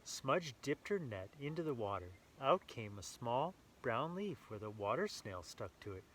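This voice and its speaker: A man reading a children's story aloud with animated enthusiasm, adding casual asides to young listeners. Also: medium pitch, measured pace, a little hiss or hum.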